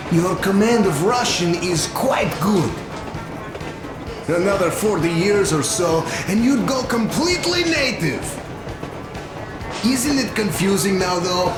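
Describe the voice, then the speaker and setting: High-pitched, wounded young soldier. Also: male voice. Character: wounded young Russian soldier, loyal, joking and easygoing. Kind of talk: video game cutscene acting. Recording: roomy echo with music underneath.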